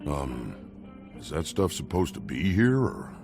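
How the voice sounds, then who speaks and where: A man's voice: a bass voice, big pitch swings, unhurried, gravelly, heavily acted. An actor playing a meek, nervous, defensive heavyset man in a video game, speaking hesitantly and plainly. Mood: hesitant, worried. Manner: hesitant, pleading, plain folksy grammar. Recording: music behind the voice.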